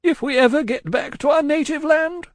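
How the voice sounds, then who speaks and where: A man's voice: extremely high pitch, moderate pace, no room sound, clean studio sound, voice pushed up. A man voicing a distraught, prim and loyal Englishwoman in a storybook, fussy, formal and emphatic in tearful agitation. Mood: agitated, earnest. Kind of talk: audiobook character acting.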